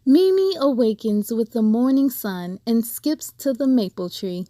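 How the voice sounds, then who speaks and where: A woman hosting a children's read-aloud, speaking in an expressive, deliberate, sing-song way to young listeners. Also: sits high, no room sound, studio quality. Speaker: host of a read-aloud story series. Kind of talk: expressive children's storybook reading.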